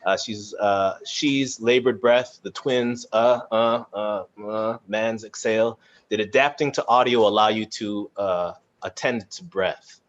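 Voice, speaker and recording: Man, panel moderator, low voice, halting searching delivery, casual, muffled lo-fi call sound. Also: steady tone. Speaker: moderator of a cast Q&A. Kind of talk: casual panel talk, reading audience questions. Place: remote live video chat.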